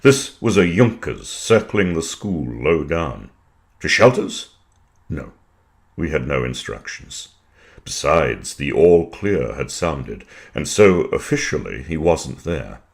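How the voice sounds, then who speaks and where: A man reading poetry in a measured, dramatic style, with deliberate, precise phrasing and weighted pauses. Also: extremely low pitch, very animated, creaky. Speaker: poetry reader.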